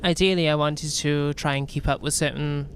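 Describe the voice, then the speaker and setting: A man's voice: a little high, steady tone, normal speed, a bit bright, slight background noise. A young man from Wales with a light, toned-down Welsh accent, chatting casually with viewers while playing a game, rambling and restarting his sentences. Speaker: gaming streamer. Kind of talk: casual gameplay chat.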